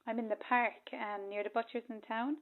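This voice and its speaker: A woman's voice: fairly high pitch, fairly even intonation, moderate pace. A woman playing an anxious, jittery caller in a radio play, hesitant and nervous, through a phone filter. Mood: anxious, insistent. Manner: hesitant, breathy sighing, nervous. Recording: thin phone-like sound.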